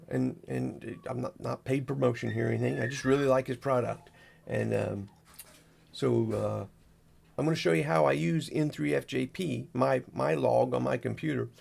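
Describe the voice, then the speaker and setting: Gravelly, husky man talking through a demo. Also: mid-range voice, a little mellow. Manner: folksy, rambling, narrates each click as he goes. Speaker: amateur radio operator, video host. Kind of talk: casual unscripted screen walkthrough.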